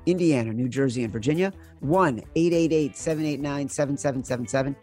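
A man's voice: medium pitch, brisk, a little mellow, soft background music. A man, a podcast host, reading a scripted sponsor advertisement with its terms and disclaimers. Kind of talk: scripted ad read.

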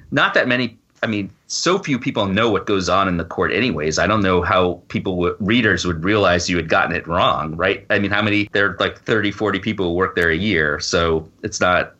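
A man speaking as a guest author in a conversational interview, informal and halting, often breaking off to rephrase. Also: low voice, bright, forceful tone, muffled recording. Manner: halting, informal, frequent self-interruptions and "you know". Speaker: guest author and law professor.

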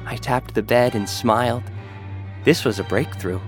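A man narrating a first-person science-fiction audio drama in a dry, deadpan manner. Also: mid-range voice, a little slow, soft background music.

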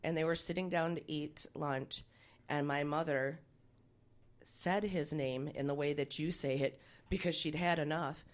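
A woman's voice: deep voice, fairly even intonation, a little slow. A woman, co-hosting a radio show, telling stories with frequent giggles. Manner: giggly, storytelling. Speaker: radio co-host. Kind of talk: casual radio banter.

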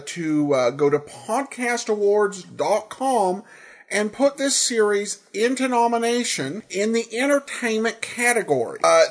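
A man with an American accent hosting a podcast, giving an informal introduction with somewhat halting phrasing. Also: high-pitched. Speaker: podcast host.

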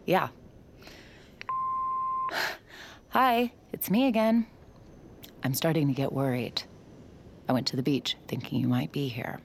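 A young adult mind-reading heroine, played by a woman in an audio drama, narrating her thoughts quietly and reflectively. Her voice is very low and gravelly, and at times she drops to a whisper. Faint noise sits behind her. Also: big pitch swings, slow, long pauses, full character voice. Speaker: mind-reading lead character.

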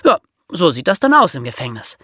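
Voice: medium pitch, wildly swooping pitch, a little fast, sharp tone, slightly rough, dry recording, studio quality. A podcast co-host, explaining things in an informal, conversational way.

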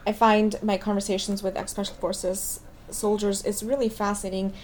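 Woman, interviewer, warm mellow voice, long questions. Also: mid-range voice, level tone, normal speed, a little hiss or hum. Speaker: interviewer, host.

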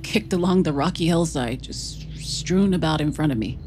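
A woman's voice: sits low, moderate pace, background noise, close to an everyday voice. A woman playing an anxious, guarded young woman with an American accent, acting and narrating in an audio drama. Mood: hushed, reflective.

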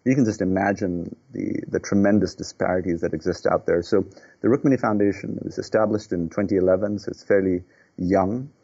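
A man from Nepal, a healthcare executive, answering podcast questions earnestly, often repeating words and pausing with fillers. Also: sits low, fairly even intonation, warm tone, breathy edge, lo-fi sound.

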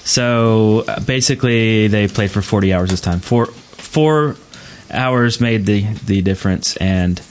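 A man's voice: fairly low pitch, unhurried, few pauses, a little hiss or hum. A man hosting a podcast, chatting casually. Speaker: podcast host.

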